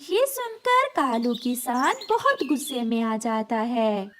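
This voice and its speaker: A woman reads a scripted cartoon tale as narrator. Her voice is high, with lively rises and falls. She takes her time over the sentences, over faint background noise. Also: slow.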